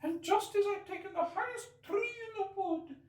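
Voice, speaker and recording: A man voicing a fretful, indignant mother pigeon from a storybook, shrill and scolding. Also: normal speed, reverberant, recorded from a distance. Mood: shrieking. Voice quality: shrill, screechy. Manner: scolding, sobbing, contemptuous.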